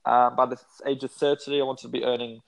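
A man's voice: medium pitch, little pitch movement, fast talker, vocal fry. A man in his twenties, a guest in a casual interview, talking in a rambling way with many fillers and restarts. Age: 20s.

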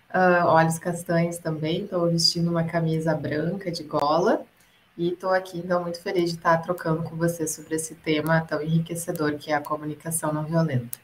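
Low-voiced woman guest, calm. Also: moderate pace, slight background noise, low-quality recording. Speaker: guest expert. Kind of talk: online panel introduction. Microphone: video call.

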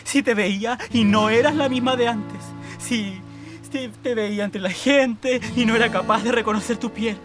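A woman's voice: fairly high pitch, normal speed, over music, natural voice. An actress playing a devoted, wounded woman who has waited years for her lover, speaking with heartfelt pleading in a radio play. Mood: vulnerable. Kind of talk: radio theatre acting.

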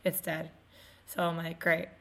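A woman in her twenties co-hosting a podcast in casual chat, her voice still a little raspy after losing it, telling stories with laughs. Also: on the low side, completely flat delivery, a little slow, a bit bright. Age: 20s. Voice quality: slightly raspy. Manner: chatty, laughing storyteller. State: recovering from lost voice. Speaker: podcast co-host.

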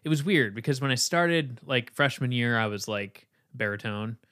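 A man's voice: mid-range voice, normal speed, pushed and bright, no echo. A man with a mid-range voice co-hosts a podcast in easygoing, casual chat. He tells stories and acts out the lines he quotes. The sound is dry and close-miked. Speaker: podcast co-host.

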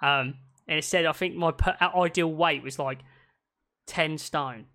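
A man's voice: fairly high pitch, a little slow, pushed and bright. A man hosting a casual podcast from home over a video call, rambling and swearing freely with lots of restarts. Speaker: podcast host.

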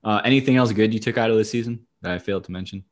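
Low-voiced man, dry sports banter. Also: racing. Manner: dry, wry, profane. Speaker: sports podcast host. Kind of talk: casual banter and sports commentary.

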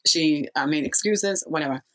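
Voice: medium pitch, normal speed, fairly bright tone. A person from Kazakhstan telling a life story in a casual interview, rambling and often losing the thread.

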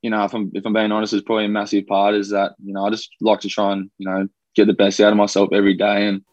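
Low, flat-voiced young Australian man. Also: very fast, dry recording, highs cut off. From New Zealand, raised in Australia. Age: young adult. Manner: laid-back, rambling, many fillers. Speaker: rugby league player guest. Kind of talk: casual podcast interview.

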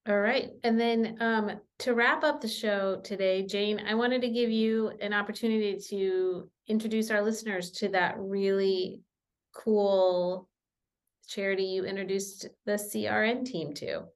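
A woman's voice: mid-range voice, steady tone, measured pace. Easygoing woman podcast host. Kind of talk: casual podcast hosting.

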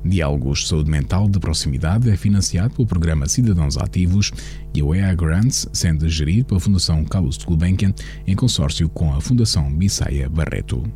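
A man presenting a radio news bulletin in a measured, formal reading voice, with a European Portuguese accent. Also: sits low, warm tone, soft background music.

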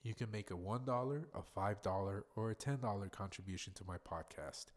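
A man with a somewhat low voice hosts his own podcast, rambling casually on his own. He is congested and sounds plainly nasal, and he swears freely as he talks. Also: a little fast. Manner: rambling, profane, loose and chatty. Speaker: podcast host. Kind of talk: casual solo podcast monologue.

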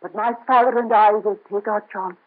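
An actress playing a young noblewoman in hiding, soft, earnest and dignified, in an old radio drama. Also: medium pitch, steady tone, moderate pace, breathy edge, natural voice. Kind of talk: 1930s radio drama acting. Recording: muffled lo-fi old radio transfer.

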